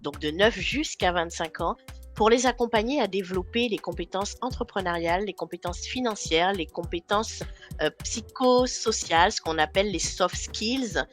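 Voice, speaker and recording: A woman from Guadeloupe, a guest speaking remotely in a podcast interview, earnest and pausing often on fillers. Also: mid-range voice, faint music behind. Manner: earnest, with frequent hesitation fillers. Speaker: guest, founder of an online training company. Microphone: remote call.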